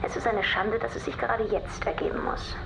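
An actress playing a cold, defiant heiress in a radio crime drama, speaking in a cool, matter-of-fact way. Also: female voice, fairly high pitch, steady tone, brisk, creaky, whispered, poor audio. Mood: coolly calculating. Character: cold, defiant heiress who had her father killed.

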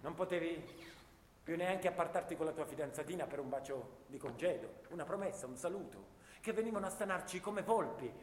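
A man's voice: a little high, animated, a little fast, a little hiss or hum, lightly acted. Man acting impassioned young soldier, echoing hall reverb. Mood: wistful recollection. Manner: impassioned, rhythmic, declamatory, piling up lists. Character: disillusioned eighteen-year-old Italian volunteer soldier of the First World War. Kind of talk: theatrical stage monologue. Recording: reverberant radio-theatre sound with guitar music.

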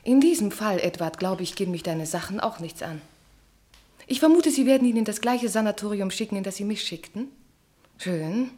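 An actress playing a cool, proud, sarcastic estranged wife in a German radio play, with a formal, cutting delivery. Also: female voice, mid-range voice, animated, moderate pace, mellow, natural voice. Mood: icy, sarcastic. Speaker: actor.

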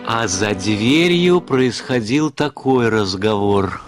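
A man's voice: fairly low pitch, expressive, slow. A man narrating a literary comic story for a radio play. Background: music.

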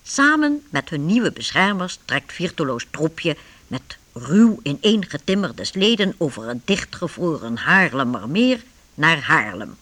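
Slow, animated radio drama narrator. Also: mid-range voice.

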